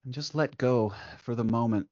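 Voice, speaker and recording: A man leading a guided meditation as the guide, speaking gently and soothingly, unhurried, with long pauses between short instructions. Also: medium pitch, no room sound, muffled recording.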